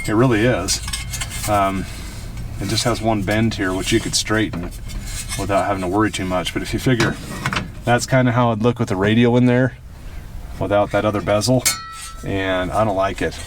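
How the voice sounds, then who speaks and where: Low-voiced man, distant mic, noisy background. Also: steady tone, normal speed, pauses often. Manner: informal, plainspoken, opinionated. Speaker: host of a car restoration video. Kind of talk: casual hands-on vlog commentary while working. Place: in or at a car being worked on.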